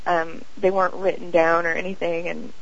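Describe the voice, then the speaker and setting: Young woman, interview guest, dreamy halting talk, lots of fillers, muffled lo-fi audio. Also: fairly low pitch, level tone. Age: young adult. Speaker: guest, singer and actress. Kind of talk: casual interview chat. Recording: dull lo-fi audio.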